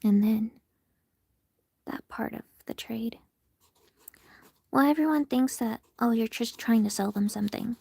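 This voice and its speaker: A woman chatting candidly with her viewers while she draws on a live stream, often half-whispering in a soft, very feminine voice, picked up by her phone. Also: a little high, measured pace, gravelly, long pauses, husky, no room sound. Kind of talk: casual livestream chat answering viewers.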